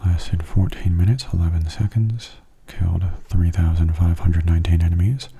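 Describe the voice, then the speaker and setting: Very soft, husky low-pitched man. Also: little pitch movement. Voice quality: soft, breathy, often near a whisper. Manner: gentle, unhurried, explanatory. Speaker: video game commentator. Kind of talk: soft-spoken ASMR gameplay commentary.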